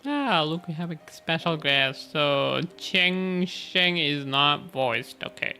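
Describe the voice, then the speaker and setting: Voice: medium pitch, animated, slow pace, bright, forceful tone. A streamer giving casual gameplay commentary, rambling and thinking aloud. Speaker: video game streamer. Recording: game music behind voice.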